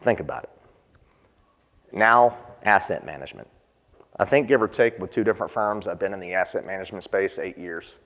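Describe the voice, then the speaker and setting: A man's voice: low voice, a little fast, a bit bright. Presenter man quizzing a conference audience. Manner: conversational, quizzes the audience with questions. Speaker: session presenter. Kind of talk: conference panel talk. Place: conference session room. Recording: long hall reverb.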